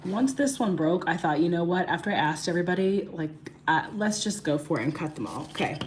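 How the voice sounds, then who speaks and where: A woman in her thirties, hoarse and raspy from losing her voice, chatting casually to livestream viewers during a nail tutorial and greeting them by name. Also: on the low side, lively intonation, brisk, a little mellow, a little hiss or hum. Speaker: beauty livestream host.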